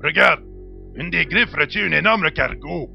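Gravelly, theatrical old pirate of a ship's computer. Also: male voice, a little high, animated, a little slow, soft background music, full character voice. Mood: grumbling, wary. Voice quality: gravelly, creaky. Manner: theatrical, grumbling, archaic oaths, calls commander "boy". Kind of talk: French audio drama acting. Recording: slightly muffled amateur audio drama recording.

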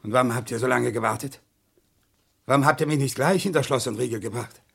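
An actor playing a sly, indignant old murder suspect in a German radio crime drama, agitated and bitter, with a sharp, creaky voice. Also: male voice, mid-range voice, quick pace. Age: older. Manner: agitated, spitting, dropping to bitter hisses.

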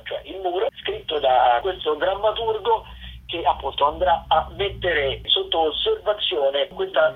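A radio presenter giving a semi-scripted rundown of listings in a halting, discursive way, with self-corrections. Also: mid-range voice, breathy edge. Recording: muffled, phone-like radio line.

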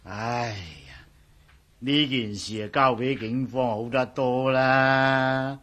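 An actor playing a fretful, grumbling old household servant in a Cantonese radio drama, with a husky voice, fussy stammering delivery and a Hong Kong accent. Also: male voice, medium pitch, fairly even intonation, takes it slowly, a bit bright. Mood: grumbling, resigned.

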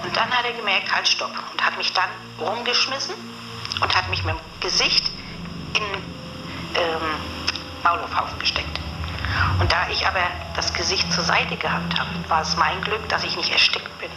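A woman's voice: lowish voice, normal speed. A woman giving a spontaneous first-hand account in blunt, colloquial words. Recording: noisy, echoey archive interview recording.

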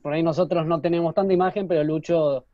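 A man's voice: on the high side, steady tone, fast, dry recording, muffled recording. An Argentine man co-hosting with casual banter over a video call. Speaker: co-host.

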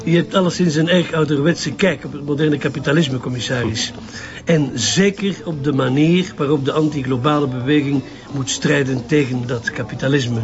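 Lo-fi, higher-voiced smug Flemish journalist, played by a man. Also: moderate pace, lightly acted. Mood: smug, earnest. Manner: articulate, smug, slightly condescending. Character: smooth-talking, evasive male activist newspaper journalist. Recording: slightly dull lo-fi audio, music behind.

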